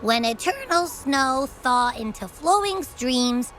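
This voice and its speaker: An actress playing a poised, inquisitive, poetic young woman in a video game, speaking deliberately and theatrically. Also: high-pitched, animated, a bit bright, faint music behind, heavily acted. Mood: poetic, composed.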